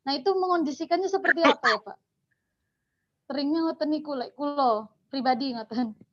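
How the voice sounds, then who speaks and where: A woman's voice: high voice, fairly quick. A woman with a Javanese Indonesian accent asking a question from the audience, rambling and mixing in Javanese words. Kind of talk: casual question. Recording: dull lo-fi sound from off-mic.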